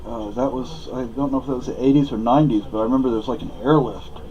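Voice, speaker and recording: A man's voice: medium pitch, steady tone, fast talker, mellow, lo-fi sound. A man from Spain, a native Spanish speaker, giving a rambling, opinionated monologue with many fillers and restarts. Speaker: vlogger.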